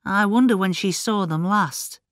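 A woman's voice: medium pitch, measured pace, no room sound, clean studio sound, lightly acted. A woman voicing a worried, earnest male police inspector in a storybook reading. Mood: pondering, uneasy.

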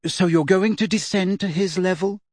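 A sharp-tongued schoolgirl witch from a storybook, put on by a man. The voice sits high and comes out brisk and bossy, with an indignant, principled edge. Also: fairly even intonation, no echo, studio quality.

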